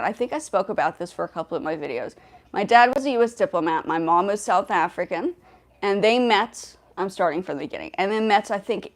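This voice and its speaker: A young woman hosting a casual livestream, talking in a rambling way with many fillers and restarts. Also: mid-range voice. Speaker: livestream host.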